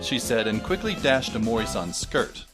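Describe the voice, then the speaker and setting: A man voices a wry teenage boy narrating an audio drama in a dry, deadpan way. His pitch sits in a middle range. Music plays clearly behind him. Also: normal speed, close to an everyday voice.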